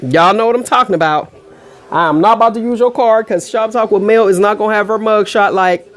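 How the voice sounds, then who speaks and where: A middle-aged American woman hosting a casual online show, chatting to viewers in an animated, colloquial way with frequent asides. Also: mid-range voice, brisk. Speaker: online radio show host. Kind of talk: casual livestream talk to viewers.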